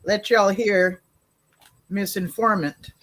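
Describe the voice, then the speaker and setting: Chatty woman on a call-in, brisk, laughs a lot. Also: mid-range voice, fairly even intonation, fairly bright tone. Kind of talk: casual call-in chat.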